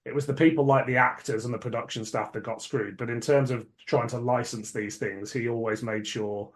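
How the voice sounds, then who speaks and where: Guy hosting a podcast, just chatting, informal, opinionated, bit sweary, normal mid pitch. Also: fairly even intonation, a little fast. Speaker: podcast host. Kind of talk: casual chat about cult sci-fi dramas.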